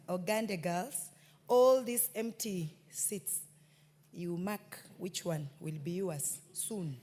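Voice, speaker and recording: A woman's voice: mid-range voice, a little mellow. A woman, a member of parliament, welcoming students in a playful, teasing way. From Kenya. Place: parliament chamber. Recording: long reverb of a large chamber.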